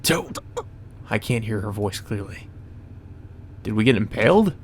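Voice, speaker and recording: A man's voice: on the low side, animated, measured pace, slight background noise. A man reading visual novel narration aloud as a let's play, mixed with casual, sweary commentary.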